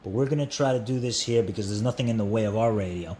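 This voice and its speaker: Low-voiced man giving a casual repair walkthrough. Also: fast talker. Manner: informal, repeats himself, talks viewer through steps. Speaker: repair tutorial host. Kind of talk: casual hands-on how-to narration. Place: indoors at a workbench. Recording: steady background noise.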